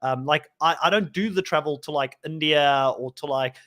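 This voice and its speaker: A man's voice: fairly high pitch, normal speed, sharp tone. A young man from South Africa whose first language is Afrikaans, co-hosting a podcast and chatting casually, rambling with lots of likes and ums. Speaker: podcast co-host.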